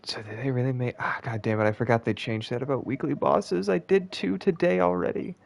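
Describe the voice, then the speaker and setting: A man's voice: mid-range voice, fairly even intonation, brisk, vocal fry. A man streaming a video game with casual commentary, blunt, sweary and given to exasperation. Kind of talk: casual gaming commentary with reading of on-screen text.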